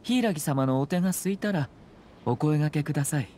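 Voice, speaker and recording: A man voicing a composed young nobleman in an anime game, speaking in a measured, formal, polite way over faint background ambience. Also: a little high, animated, mellow, a little hiss or hum, close to an everyday voice. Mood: courteous. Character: composed, courteous young nobleman and clan commissioner.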